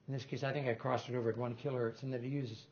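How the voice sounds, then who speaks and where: A man's voice: mid-range voice, little pitch movement, rapid-fire, a little mellow, muffled recording. An older man giving an informal technical talk in a lecture room, rambling and correcting himself with small chuckles. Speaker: guest lecturer on loudspeaker design.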